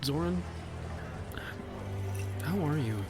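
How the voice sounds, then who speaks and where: An actor playing an anxious, curious blacksmith adventurer with halting, wondering speech in a fantasy audio drama. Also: male voice, a little high, lively intonation, over music. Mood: curious, concerned.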